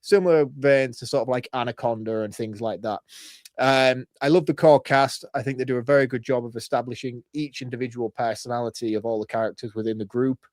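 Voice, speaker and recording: A man co-hosting a film podcast, opinionated and teasing, swearing casually in relaxed chat. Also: medium pitch, a little fast, no room sound. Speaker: podcast co-host.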